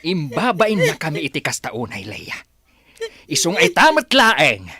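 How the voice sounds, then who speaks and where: Sharp male voice actor, extremely swooping delivery, stern scolding father, radio drama. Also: fairly high pitch, wildly swooping pitch, a little slow, full character voice. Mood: angry, scolding. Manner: scolding, forceful. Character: stern, angry father disowning his daughter. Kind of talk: Ilocano radio drama acting.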